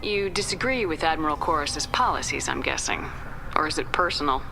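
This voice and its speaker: Low-voiced, composed space commander. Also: female voice, animated, brisk, gravelly, low-quality recording. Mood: probing. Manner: direct, controlled, questioning. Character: composed, direct human female space commander. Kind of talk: video game dialogue acting. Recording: loud music behind the voice. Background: music.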